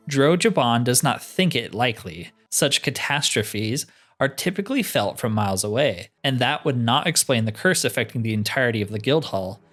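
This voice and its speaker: A man's voice: mid-range voice, a bit bright. A man reading the part of a courteous, scholarly cat-man spell trainer, speaking formally in game dialogue. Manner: formal, speaks of himself in third person.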